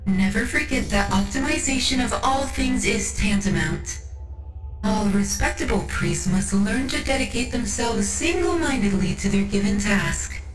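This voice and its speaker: A woman playing a composed, coolly superior tech priest of a machine cult in a scripted ASMR roleplay, speaking formally and deliberately to the listener with faint condescension. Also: mid-range voice, distant mic, close to an everyday voice. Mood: brisk, condescending. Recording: roomy echo over background music.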